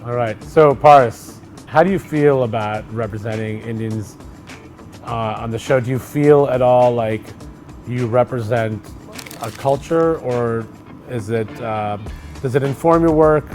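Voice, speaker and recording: A middle-aged man in casual conversation, an actor talking loosely and relaxed, with many fillers and restarts. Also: medium pitch. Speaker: actor co-hosting a chat.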